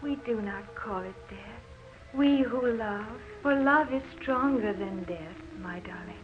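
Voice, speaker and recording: An actress playing the gentle, loving ghost of a young woman, speaking in a measured, tender way in an old radio drama. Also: medium pitch, soft background music, natural voice. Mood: serene, tender. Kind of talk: 1940s radio drama acting. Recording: muffled old radio recording.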